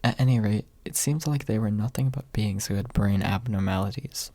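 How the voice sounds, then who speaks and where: A young man with a soft, husky voice, reading quietly in an ASMR audiobook style, close to the microphone. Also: fairly low pitch, moderate pace, no room sound. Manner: soft-spoken, hushed late at night. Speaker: amateur audiobook reader. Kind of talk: ASMR, quiet storybook narration. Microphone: close USB microphone without pop filter.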